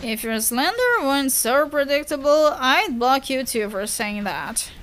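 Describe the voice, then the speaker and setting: A woman voicing a composed young female troll who speaks in a measured, prim and wry way. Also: high voice, animated, a bit bright, soft background music. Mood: dry, prim.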